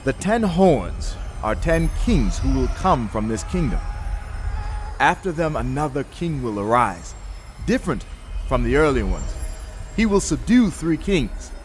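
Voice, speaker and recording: A man playing a solemn heavenly interpreter, gravely declaring prophecy in a dramatized scripture reading. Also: mid-range voice, very animated, unhurried, faint music behind. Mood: solemn, declarative.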